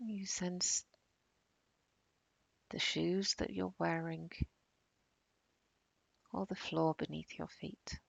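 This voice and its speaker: Very creaky, slow-speaking woman guiding meditation. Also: fairly low pitch, no room sound. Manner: calm, soothing, unhurried with long pauses. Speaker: meditation guide. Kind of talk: guided body-scan meditation.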